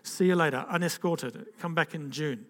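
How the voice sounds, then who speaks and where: A man's voice: fairly high pitch, normal speed, long reverb tail. An older man gives an informal personal testimony to a gathering. He talks in a rambling, conversational way, with restarts and frequent "okay?" asides. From Zambia and Zimbabwe. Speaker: speaker giving a personal testimony.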